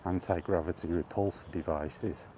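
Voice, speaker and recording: A man chatting casually on his own, enthusiastic but halting, with frequent ums and restarts. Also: deep voice, fairly even intonation, dark tone, slight background noise. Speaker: vlogger. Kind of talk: casual rambling monologue. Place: outdoors, walking.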